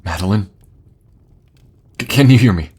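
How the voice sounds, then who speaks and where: A grave, remorseful ruler and devoted father acted by a man speaks in a lowish voice. His delivery is earnest and full of feeling, in a fantasy audio drama with slight noise behind it. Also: lively intonation, moderate pace, a little mellow, natural voice. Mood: anxious. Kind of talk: fantasy audio roleplay acting.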